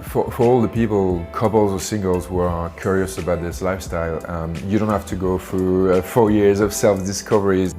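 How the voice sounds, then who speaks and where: A man giving an unscripted personal testimonial in a halting way, searching for his words. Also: low voice, steady tone, dark tone, soft background music. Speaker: workshop participant giving a testimonial.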